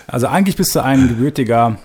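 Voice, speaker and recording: A man's voice: medium pitch, animated, fast, a little mellow, slightly rough. A man hosting a small radio show, asking questions in a chatty, joking way with frequent restarts. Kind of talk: casual interview.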